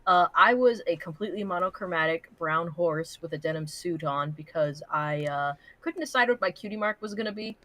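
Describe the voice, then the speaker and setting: A woman co-hosting a livestream with casual banter and commentary, animated, blunt and sweary. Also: fairly low pitch, brisk, hardly any pauses, dry recording. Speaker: co-host of a livestream.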